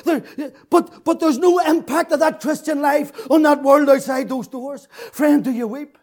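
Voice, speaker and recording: A man preaching an impassioned sermon, repeating his phrases, swinging from shouted peaks to hushed, tearful lows. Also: fairly even intonation, normal speed. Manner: fervent, repetitive, rising to shouts then dropping low.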